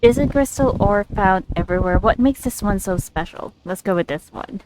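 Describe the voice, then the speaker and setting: A woman doing casual let's play commentary as a game streamer, in a halting, giggly way. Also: medium pitch, expressive, music in the background, rough recording.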